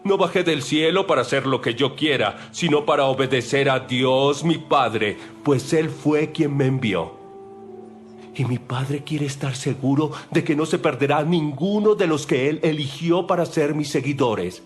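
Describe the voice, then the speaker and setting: Guy playing a solemn holy teacher in an audio bible drama, really slow and sure of himself, bit of music under it. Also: a little high, close to an everyday voice. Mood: solemn, assured. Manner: solemn, deliberate, declarative.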